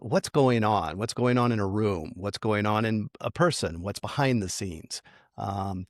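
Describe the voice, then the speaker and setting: A middle-aged man, a leadership coach, speaking thoughtfully in an interview, measured and reflective with frequent fillers and light laughs. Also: mid-range voice, no room sound, studio quality. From United States.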